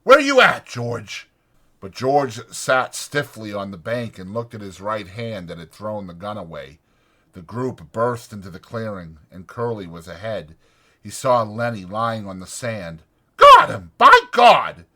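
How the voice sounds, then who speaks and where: A man narrating an audiobook dramatically, in a measured and deliberate way. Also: on the low side, fairly bright tone.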